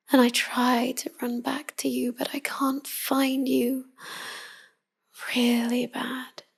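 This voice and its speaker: A woman playing a tender, melancholy vampire wife in an intimate pillow-talk roleplay, speaking softly and often whispering. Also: a little high, heavily acted. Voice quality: soft, breathy, often whispering. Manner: slow, hushed, confiding. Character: tender, melancholy vampire wife devoted to her human lover.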